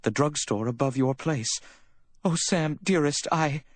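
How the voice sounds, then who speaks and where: Man voicing a choked, wailing jealous woman, pitched high. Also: a little slow, no room sound, lightly acted. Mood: anxious. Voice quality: choked, whimpering voice. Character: distraught wailing jealous woman, pleading and indignant. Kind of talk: dramatic audiobook character reading.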